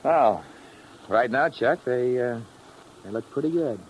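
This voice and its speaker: An actor playing a laconic, confident young gambler back home, in a 1950s radio drama. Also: male voice, mid-range voice, animated, a little slow, highs cut off, lightly acted. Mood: casual, guarded. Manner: laconic, easygoing. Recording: noisy old radio recording.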